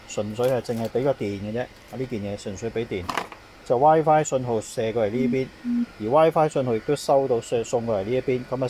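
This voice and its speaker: A man's voice: mid-range voice, mellow, poor audio. A man with a Hong Kong Cantonese accent explains a device setup informally as an installer, repeating his points as he goes. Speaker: intercom installer, technician. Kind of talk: informal product demonstration and handover explanation. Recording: clear background noise, on-site ambience.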